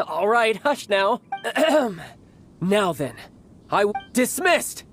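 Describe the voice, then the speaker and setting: A man's voice: high-pitched, big pitch swings, extremely slow, fairly bright tone, heavily acted. A young video game commander who is also a doting big brother. His delivery is polished and theatrical, with light music underneath. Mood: brisk, authoritative.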